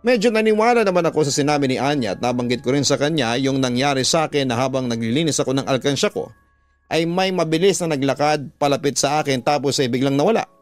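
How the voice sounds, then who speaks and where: A man's voice: medium pitch, animated, quick pace. A man with a Filipino accent narrating a scripted story in a dramatic radio storytelling style. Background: background music.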